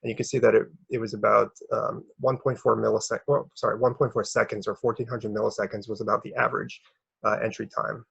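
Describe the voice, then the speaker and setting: Male academic presenter, very husky and gravelly, halting delivery, slightly muffled recording. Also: lowish voice, flat delivery, fairly bright tone, dry recording. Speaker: researcher presenting a paper.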